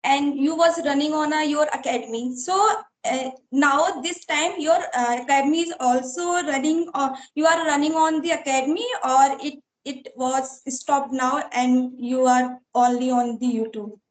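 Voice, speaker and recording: A woman in her thirties with an Indian accent, hosting a casual interview in halting learner's English. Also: sits high, fairly even intonation, recorded from a distance. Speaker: interviewer, YouTube host. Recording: roomy, echoey sound.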